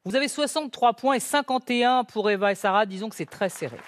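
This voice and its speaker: A woman's voice: a little high, quick pace, dry recording, studio quality. A woman in her sixties hosting a word game show, reading clues with emphasis and laughing often. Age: 60s.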